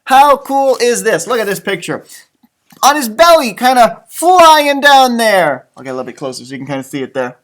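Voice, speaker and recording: A man reading a storybook aloud for children, expressive and dramatic, breaking off for chatty, simple asides and sound effects. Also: very high-pitched, normal speed. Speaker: elementary school music teacher reading storybooks aloud.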